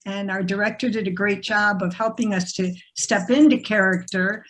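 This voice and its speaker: A woman's voice: medium pitch, a bit bright, rough recording. A woman, an amateur play cast member, talking casually in an online group discussion over a video call.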